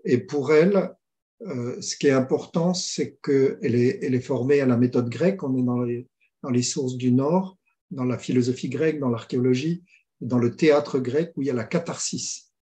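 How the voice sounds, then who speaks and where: A man's voice: mid-range voice, moderate pace, dark tone. A man working as a mediator, talking through ideas with frequent fillers and restarts in a conversational interview. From France. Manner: hesitant, many restarts and fillers, storytelling. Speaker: mediator guest.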